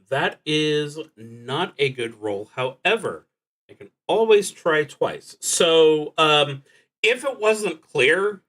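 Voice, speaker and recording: A man livestreaming a solo roleplaying game, thinking aloud in an unscripted, deliberative way with frequent pauses and restarts. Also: medium pitch, fairly bright tone. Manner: halting, deliberative, often trailing off and restarting.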